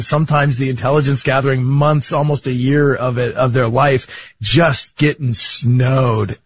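A man hosting an interview, building long setups and slowing down for emphasis. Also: mid-range voice, a little hiss or hum. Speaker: podcast host. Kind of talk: interview questions.

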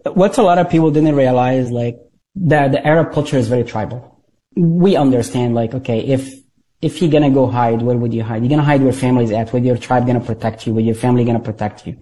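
Guy, dark mellow voice, stops and repeats himself a lot. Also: medium pitch, low-quality recording. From Egypt. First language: Arabic. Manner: halting, repeats words, many fillers. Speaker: guest, former intelligence operative. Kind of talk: interview storytelling.

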